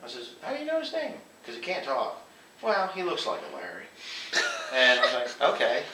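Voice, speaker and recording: A man's voice: mid-range voice, wildly swooping pitch, brisk. A man casually telling anecdotes, acting out bits of quoted dialogue as he goes. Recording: roomy, distant mic, faint noise.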